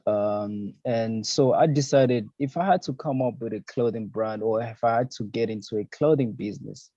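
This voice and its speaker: A man's voice: lowish voice, normal speed, mellow, dry recording. A young man from Zambia, a fashion designer, answering interview questions in an easygoing way with lots of fillers. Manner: easygoing, many fillers and "you know, man". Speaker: fashion designer, podcast and radio host. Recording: dull lo-fi sound.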